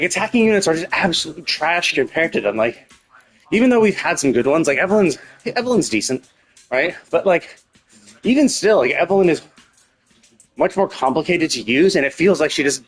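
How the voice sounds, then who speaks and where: A man with a husky voice giving casual, rambling gaming commentary as a live streamer, swearing freely. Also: medium pitch.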